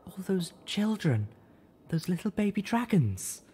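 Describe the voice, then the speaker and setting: A man voicing a gentle, heartbroken female pony in a choked, halting, sorrowful audiobook character voice. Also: high-pitched, sing-song, slightly rough, pitched above the performer's own voice, full character voice. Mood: tearful grief.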